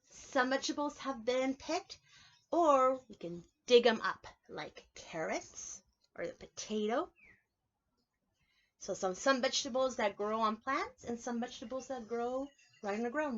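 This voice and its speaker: A woman with a fairly high voice speaks as a preschool teacher sharing a picture book. She explains things in simple, instructive phrases and puts questions to the young listeners. The recording is somewhat dull and lo-fi. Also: expressive, a little slow, a bit bright. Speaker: preschool teacher reading aloud.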